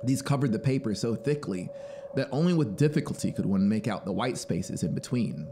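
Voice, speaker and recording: A man in his thirties reading a story as dramatic, deliberate, expressive audiobook narration, close on the mic. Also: fairly low pitch. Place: small home music studio, indoors. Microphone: broadcast mic on boom arm, close. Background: ambient synth soundscape noise.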